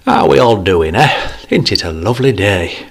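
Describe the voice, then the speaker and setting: A man's voice: fairly low pitch, lively intonation, normal speed, creaky. A man voicing a jovial, preachy older man, raising his voice above his narration. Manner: pleading, then cheery and coaxing.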